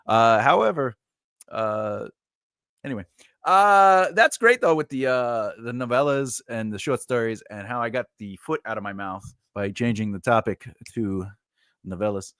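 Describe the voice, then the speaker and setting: A man's voice: mid-range voice, normal speed, a bit bright, clear, resonant tone, no echo, studio quality. A man in his forties, a writing teacher and editor, chatting casually and rambling with laughs during a live Q&A. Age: 40s.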